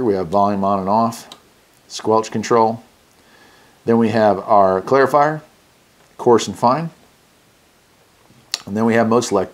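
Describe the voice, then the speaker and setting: An American man hosting a casual product unboxing and review, talking in a folksy, enthusiastic way. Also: fairly low pitch. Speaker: radio review host.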